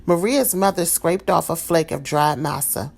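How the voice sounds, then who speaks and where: A woman reading a children's storybook aloud in a calm, expressive way. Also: deep voice, moderate pace, creaky. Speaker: storybook reader.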